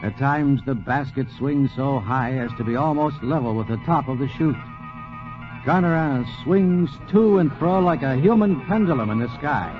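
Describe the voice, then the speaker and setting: A man narrating a scripted 1940s radio documentary with dramatic, deliberate emphasis. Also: mid-range voice, animated, music in the background. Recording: dull 1940s radio recording.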